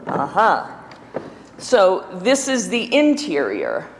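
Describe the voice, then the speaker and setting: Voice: mid-range voice, huge pitch swings, takes it slowly, long reverb tail, slight background noise, poor audio. A window restoration instructor giving a hands-on workshop demonstration, explaining each part step by step while handling tools, with chatty self-deprecating asides to a live room. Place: indoor workshop room before an audience.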